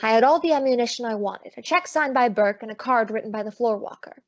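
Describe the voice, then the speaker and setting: Wisecracking female private eye woman actor, highish voice, brisk, teasing radio-drama delivery, take-charge attitude. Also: dry recording, close to an everyday voice. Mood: wry narration. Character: sassy, quick-witted female private detective, in command. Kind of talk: old-time radio drama acting with first-person narration. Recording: dull lo-fi audio.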